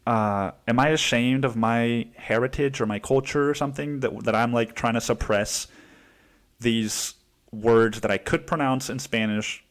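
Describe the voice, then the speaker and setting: A young man from Cancun rants through a solo podcast episode. He swears freely and drops "dude" constantly. He jumps up into a higher voice whenever he mimics other people. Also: fairly even intonation, unhurried. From Cancun, Mexico. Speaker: podcast host. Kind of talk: casual solo podcast rant.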